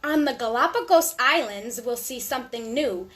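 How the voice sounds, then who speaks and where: A woman hosting a storytime for young children, reading aloud in a sing-song, deliberate way with little asides to her listeners. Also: fairly high pitch, lively intonation, a bit bright.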